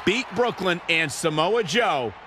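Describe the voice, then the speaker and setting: A man playing a grand, excited wrestling announcer doing sports commentary in a video game. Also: high voice, measured pace, very noisy. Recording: very loud music behind.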